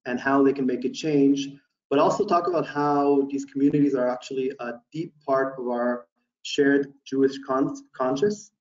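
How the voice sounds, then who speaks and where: A man in his thirties from Houston giving a relaxed webinar presentation from a small room, with many ums and uhs as he thinks aloud over a laptop webcam. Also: medium pitch. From USA (Houston, Texas). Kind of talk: casual online presentation. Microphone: laptop webcam mic, video call. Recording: roomy, distant, dull webcam audio.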